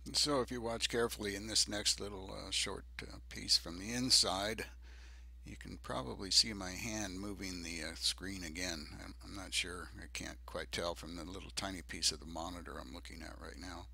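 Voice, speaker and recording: An older man narrating a DIY project video off the cuff, halting and hesitant, with many ums. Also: deep voice, steady tone, creaky, pushed and bright, breathy edge. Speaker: DIY presenter.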